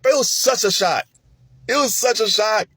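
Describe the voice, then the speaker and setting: A man talks through his film awards picks in a loose, animated way, his voice jumping up when he's excited and sinking to a near mutter when he's thinking it over. His tone is slightly husky, and he laughs often. The recording is dry and close, with no room sound. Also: normal speed, no room sound. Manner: animated, chatty, laughs often, swings between excited highs and low muttering. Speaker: film commentator. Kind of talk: casual spoken commentary.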